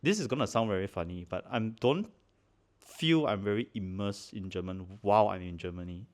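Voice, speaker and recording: A man in his thirties with a Malaysian accent, co-hosting a casual chat podcast from a living-room sofa on a handheld mic, relaxed and quick to laugh. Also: on the low side. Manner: relaxed, chatty, laughs often, many fillers. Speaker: podcast co-host.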